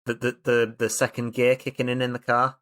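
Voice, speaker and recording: Playful man improvising game narration, halting delivery. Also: mid-range voice, monotone, a bit bright. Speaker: tabletop roleplay player. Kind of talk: improvised first-person game narration, casual.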